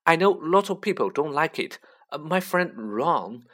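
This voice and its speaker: A woman's voice: low voice, very animated, unhurried, a bit bright, voice pushed up, full character voice. A woman voicing a young boy wizard in a fantasy audiobook, earnest and baffled, with a hesitant, questioning manner. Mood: apologetic. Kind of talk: children's fantasy audiobook character voice.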